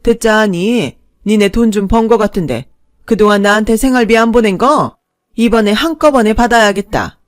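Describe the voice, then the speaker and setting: A woman voicing a shameless, nagging elderly stepmother-in-law who scolds loudly. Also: medium pitch, moderate pace, close to an everyday voice. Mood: scolding. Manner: nagging, wailing, self-pitying.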